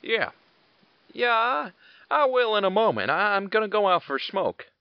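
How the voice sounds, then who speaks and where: An actor playing a gentle, tired soldier father in an audio drama, speaking wistfully and haltingly as he longs for home. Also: high voice, very animated, dull audio. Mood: fond, tired. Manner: wistful, halting, stammering delivery.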